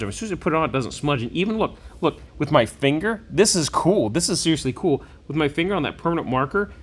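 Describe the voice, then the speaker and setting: A man giving a casual hands-on product review, enthusiastic and folksy, thinking out loud as he goes. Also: medium pitch. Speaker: game shop owner and product reviewer. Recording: clearly noisy room recording.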